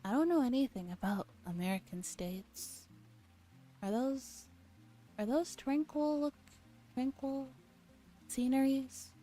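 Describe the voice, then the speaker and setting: Soft, mellow-voiced woman streamer. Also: sits high, takes it slowly, pauses often, soft background music. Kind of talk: soft, casual visual novel ASMR read-along with chat commentary.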